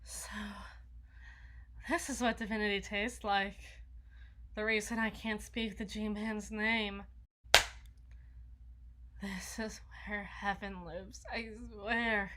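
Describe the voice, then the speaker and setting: A woman's voice: medium pitch, little pitch movement, slow pace, pauses often, pushed and bright, slight background noise. A woman playing a flustered, needy, socially awkward young vampire in an intimate ASMR roleplay, stammering and slipping into whispers. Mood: awed, teasing. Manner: stammering, breathy asides, dropping into whispers.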